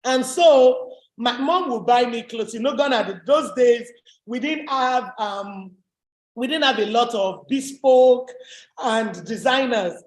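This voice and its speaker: Woman, deep voice, emphatic motivational coach, echoey room. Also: slow, a little mellow. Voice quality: deep, low voice. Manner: emphatic, repetitive, rallying. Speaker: webinar host and rhinestone business coach. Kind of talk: motivational webinar talk.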